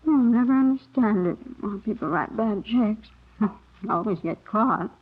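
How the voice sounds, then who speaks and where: A woman's voice: medium pitch, lively intonation, a little slow, natural voice. An actress playing a fussing, affectionate older American mother in a 1950s radio drama. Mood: homely. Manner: fussing, homely, chatty. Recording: muffled old radio recording, faint noise.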